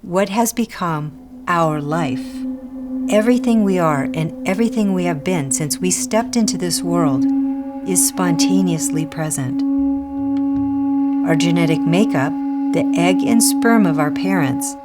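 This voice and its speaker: A woman reading a scripted spiritual teaching in a measured, deliberate way, pausing between short phrases. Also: on the low side, lively intonation. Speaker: reader of a Buddhist teaching. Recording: music playing under the voice. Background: prominent music.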